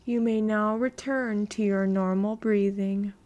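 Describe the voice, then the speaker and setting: A woman narrating a guided meditation in a calm, measured, instructional way. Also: medium pitch.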